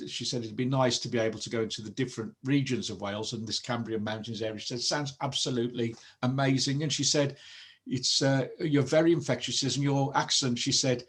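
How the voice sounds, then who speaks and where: Man, radio host, mid pitch, relaxed, reads messages from listeners. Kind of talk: casual radio chat.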